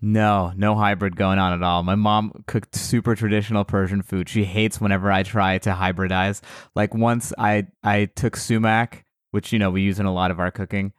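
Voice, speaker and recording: Casual podcast guest, American man, lowish voice, dry close sound, rambling storyteller. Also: flat delivery, moderate pace, clean tone, no echo. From United States. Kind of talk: casual chat.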